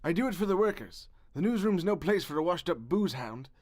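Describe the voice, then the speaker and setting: A man's voice: sits high, animated, fairly quick, darkish tone. A man playing a pompous, callous, rich newspaper owner with a grandiose manner in a comedic audio drama. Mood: self-justifying.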